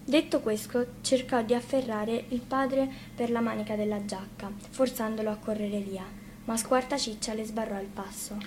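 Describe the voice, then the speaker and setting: A woman reading a fairy tale aloud as an expressive storybook narrator. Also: fairly high pitch, moderate pace. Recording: faint noise, live radio reading.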